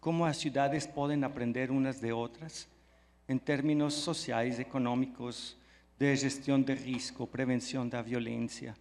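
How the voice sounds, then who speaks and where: A man from Belgium, a native French speaker, gives a conference talk in Portuguese full of Spanish words. His voice sits at a middle pitch, warm and eager. It rings with the long reverb of a big hall. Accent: French and Spanish, speaking Portuguese mixed with Spanish. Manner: friendly, enthusiastic, mixing Spanish words into Portuguese. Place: large hall at a live event. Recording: echoey hall sound.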